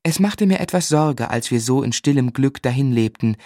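A man's voice: medium pitch, animated, moderate pace, warm tone, no echo, clean studio sound, close to an everyday voice. An actor playing a tender, dreamy young man in love who narrates his life lyrically and reflectively in a literary radio play. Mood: fond recollection. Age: young adult.

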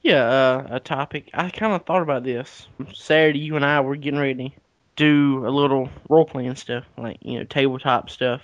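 A man co-hosting a podcast, trading dry deadpan jokes in casual banter. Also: mid-range voice, moderate pace, no room sound, highs cut off. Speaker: podcast co-host. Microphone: podcast mic.

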